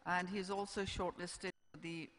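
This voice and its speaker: A woman's voice: lowish voice, little pitch movement, unhurried, a bit bright. Older woman moderating in an echoey hall. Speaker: panel moderator. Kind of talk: scripted introductions read to a festival audience. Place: on stage in a large auditorium. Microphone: stand microphone on stage. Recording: echoey hall, stage microphone.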